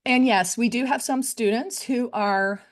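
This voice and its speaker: A woman's voice: a little high, measured pace. A woman presenting to faculty in a conversational style, with frequent fillers and "right?" tag questions. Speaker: academic integrity director giving a faculty talk. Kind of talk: conference presentation.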